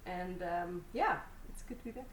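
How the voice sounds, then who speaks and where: A woman's voice: on the low side, moderate pace. Young Dutch woman in an echoey room. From Netherlands. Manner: relaxed, rambling storytelling with many fillers. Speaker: guest, Muay Thai fighter. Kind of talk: casual interview answers.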